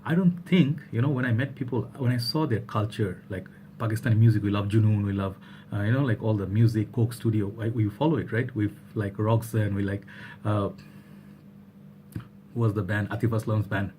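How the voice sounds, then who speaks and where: A man's voice: fairly low pitch, fairly even intonation, brisk, warm tone, a little hiss or hum. A young man from Bangladesh talking casually to camera in a vlog, rambling with many fillers and restarts. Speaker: vlogger.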